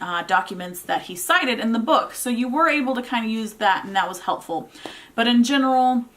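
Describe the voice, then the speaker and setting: Female vlog reviewer, mid-pitched voice, conversational and unscripted, informal delivery that thinks out loud. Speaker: book reviewer, vlog host. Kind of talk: casual unscripted review talk to viewers.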